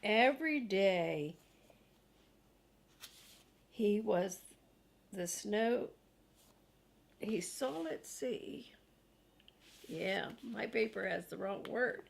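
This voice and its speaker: A woman's voice: mid-range voice, gravelly. An older woman reading a children's storybook aloud as a grandmother, expressively and with casual asides.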